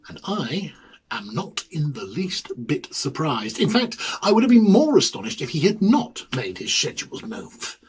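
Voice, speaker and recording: A man playing an ailing, lonely, fussy bachelor academic in a dramatic ghost-story monologue, musing hesitantly and theatrically in a husky voice. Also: fairly high pitch, big pitch swings, moderate pace, a little hiss or hum, rough recording. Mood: wistful curiosity. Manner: hesitant, musing, theatrical, with murmured asides and swelling emphasis.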